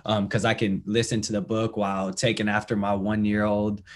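Young male host, lowish voice, friendly and talkative. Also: completely flat delivery, a little fast. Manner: friendly and talkative, frequent fillers and affirmations. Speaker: podcast host. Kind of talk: casual interview chat.

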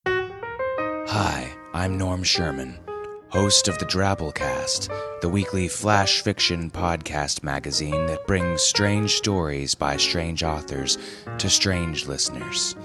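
A man's voice: sits low, very animated, slow. A man reading a scripted podcast promo as the show's host. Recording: music bed under the voice. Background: music.

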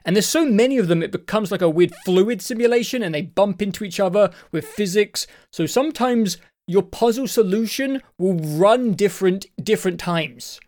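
A man co-hosting a casual podcast about games, his voice croaky because his throat won't clear, rambling along with restarts and the odd swear. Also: sits high, animated, normal speed, few pauses, a little mellow. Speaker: podcast co-host. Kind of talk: casual chat.

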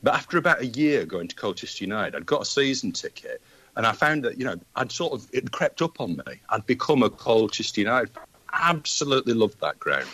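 A man's voice: medium pitch, big pitch swings, fairly quick, bright, forceful tone, breathy edge, dry recording, poor audio. An older man with a Manchester accent, telling rambling stories as a guest football fan on a casual chat show. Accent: Mancunian. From Manchester, England. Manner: rambling anecdotal storyteller.

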